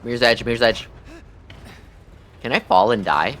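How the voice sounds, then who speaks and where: A man doing casual, profane, jokey livestream commentary while playing a game, thinking out loud. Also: mid-range voice, lively intonation, moderate pace, a little hiss or hum.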